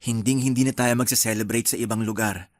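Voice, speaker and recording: An actor playing a young husband and new father who apologizes gently to his upset wife in a radio drama. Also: mid-range voice, steady tone, fast talker, dry recording, close to an everyday voice. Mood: apologetic.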